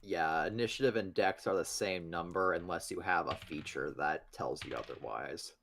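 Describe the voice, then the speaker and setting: A man's voice: low-pitched, normal speed, vocal fry, a bit bright, slight background noise. A man playing a tabletop role-playing game, casually chatting and describing his character's moves. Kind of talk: casual role-play narration and chat.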